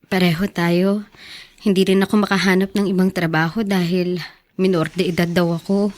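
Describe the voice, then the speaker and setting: A woman's voice: lowish voice, level tone, normal speed, darkish tone, lightly acted. An actress playing a poor, troubled and devoted teenage girl in an audio drama, earnest and emotional. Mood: subdued, tender. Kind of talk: melodramatic Tagalog audio drama acting.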